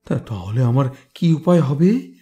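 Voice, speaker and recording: A man's voice: mid-range voice, sing-song, unhurried, dark tone. Guy playing a blind folktale musician, intense and emotional, mid voice. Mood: frightened. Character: blind male minstrel, entranced, testy, easily frightened.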